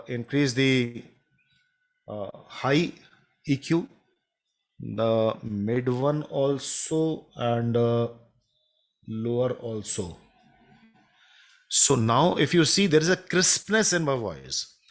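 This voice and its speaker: Baritone guy from india showing off his mixer, lots of uh and you know, home studio mic set back a bit. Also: animated, takes it slowly, long pauses. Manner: hesitant, many fillers and restarts. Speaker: music studio instructor. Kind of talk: live audio mixing demonstration. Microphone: condenser microphone at a distance.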